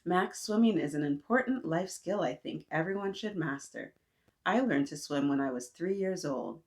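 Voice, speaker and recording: A woman voicing a kindly, proud neighbor lady in a picture book, measured and courteous in a motherly way. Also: on the low side, animated, darkish tone, natural voice. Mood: reminiscing.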